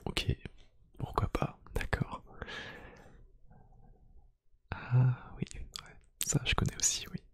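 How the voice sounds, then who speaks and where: A man doing a soft-spoken ASMR roleplay, gently whispering one-to-one to the listener in a hushed, breathy voice. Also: takes it slowly, pauses often, dry recording, clean studio sound. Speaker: ASMR YouTube creator. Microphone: close microphone.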